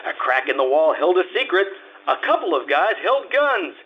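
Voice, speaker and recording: A man playing a wry, deadpan private detective in old-time radio style. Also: sits high, normal speed, highs cut off. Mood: teasing narration. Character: wry, hard-boiled male private detective.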